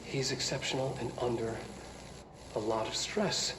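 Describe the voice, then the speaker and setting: An actor playing a stressed, defensive research scientist in a video game, talking haltingly and repeating himself in a rambling way. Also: male voice, mid-range voice, close to an everyday voice. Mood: defensive, pleading. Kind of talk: video game cutscene acting, recorded monologue. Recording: echoey room, loud background noise.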